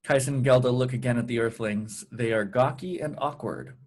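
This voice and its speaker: A man's voice: medium pitch, moderate pace, darkish tone. A man reading stage directions as scripted narration at a table read.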